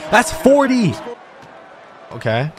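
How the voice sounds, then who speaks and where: A young man giving casual, hyped gameplay commentary full of slang and quick reactions. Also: high-pitched, huge pitch swings, crowd noise. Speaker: gaming video creator.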